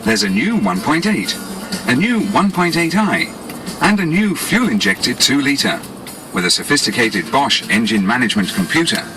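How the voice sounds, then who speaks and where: A man's voice: mid-range voice, big pitch swings, normal speed. A British man reading scripted 1980s promotional narration in a polished, explanatory salesman's manner, on a cassette tape. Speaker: advertising narrator. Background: music.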